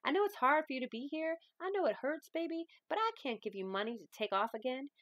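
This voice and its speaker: Woman acting a firm, high-voiced grandmother. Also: animated, fast, a bit bright, no room sound, clean studio sound. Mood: firm, tender. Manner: emphatic, folksy, firm. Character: stern, loving grandmother giving tough love. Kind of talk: dramatic novel reading.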